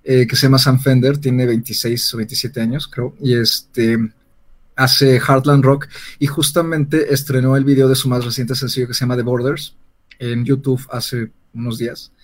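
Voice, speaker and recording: Male podcast panelist, medium pitch, conversational, searches for words as stories unspool. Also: level tone, moderate pace. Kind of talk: casual chat.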